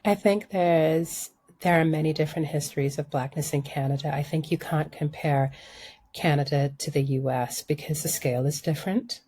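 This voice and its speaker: A woman from Sudan whose first language is Arabic, speaking as a podcast guest in a thoughtful, measured way. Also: sits low, dark tone, breathy edge. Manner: thoughtful, measured, reframes questions. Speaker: guest, environmental journalist. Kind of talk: conversational interview.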